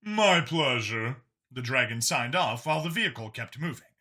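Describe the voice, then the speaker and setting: A man's voice: medium pitch, quick pace, sharp tone. A man reading scripted science fiction narration as a storyteller.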